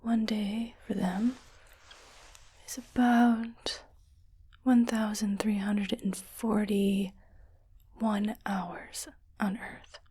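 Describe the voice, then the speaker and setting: Casting: woman to play a reassuring female starship medic for ASMR roleplay, whispered and breathy, clinical yet soothing, very unhurried delivery. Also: medium pitch, flat delivery, dark tone, exaggerated voice. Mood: calm, clinical. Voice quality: breathy, mostly unvoiced whisper. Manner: slow, measured, clinical and soothing. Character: calm, reassuring female ship's doctor in science fiction.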